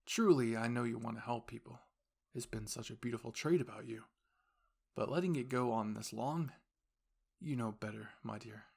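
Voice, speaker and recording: A man's voice: mid-range voice, creaky, husky. A man voicing a composed, formal young university student and caring boyfriend, speaking intimately and reassuringly to the listener in an audio roleplay. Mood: gently chiding. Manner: measured, formal, gently reassuring phrasing.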